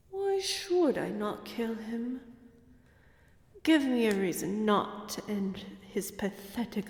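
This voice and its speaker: A woman playing a haughty female vampire noble in an audio roleplay, menacing and seductive, imperious and teasing, with breathy whispered drops. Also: a little high, a bit bright, heavily acted. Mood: cold, threatening. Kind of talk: dramatic audio roleplay acting. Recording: echoey hall-like reverb.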